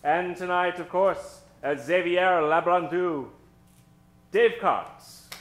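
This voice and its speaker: A man hosting a live old-time radio show, introducing characters from the stage in a dramatic, drawn-out storytelling style. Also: sits high, over music. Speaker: host and announcer. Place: stage before a live studio audience. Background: musical accompaniment.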